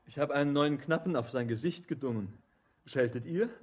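A man's voice: fairly high pitch, fairly quick, breathy edge. An actor playing a restless, respectful miner foreman in a German radio play, with clipped stage diction. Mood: uneasy, respectful.

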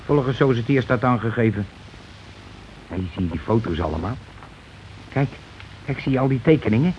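A man's voice: medium pitch, animated, a little fast, natural voice. A blustering working-class husband , played by a male actor in an old radio play. He lays down the law in colloquial speech and pushes for agreement. The recording is muffled, with some noise behind the voice. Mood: impatient, bossy. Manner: argumentative, colloquial, keeps demanding agreement. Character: bossy, blustering working-class husband and landlord, war veteran.

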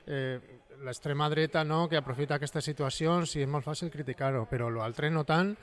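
A man's voice: on the high side, normal speed. A man with a Valencian accent explaining things conversationally as an interview guest, often checking in with tag questions.

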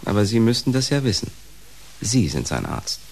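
World-weary young man, low voice, wry, wistful, radio play, slight hiss. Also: sing-song, normal speed, darkish tone. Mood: resigned devotion. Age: young adult. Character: wry, devoted, resigned younger man, worn-out companion. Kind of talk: radio drama acting.

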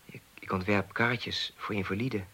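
A man's voice: fairly low pitch, fairly even intonation, normal speed, pushed and bright, husky, full character voice. A man playing an awkward, earnest young idealist in a Dutch radio play, speaking hesitantly with stammers. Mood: nervous. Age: 20s. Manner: hesitant, stammering, agitated when recounting.

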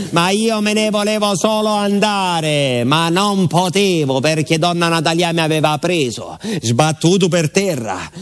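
A man playing a rambling, animated villager with a southern Italian accent, confiding his story colloquially in a theatrical radio monologue. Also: fairly high pitch. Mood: agitated. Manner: colloquial, confiding, dialect-laced storytelling to a listener.

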